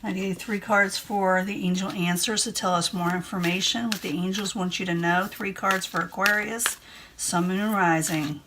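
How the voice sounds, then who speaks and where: A woman talks through a tarot reading in a chatty, reassuring way and keeps repeating her points. Her voice is strained because she is losing it, and it sits at an ordinary middle pitch for a woman. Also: steady tone, moderate pace, background noise. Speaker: tarot reader. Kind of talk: casual tarot reading to camera.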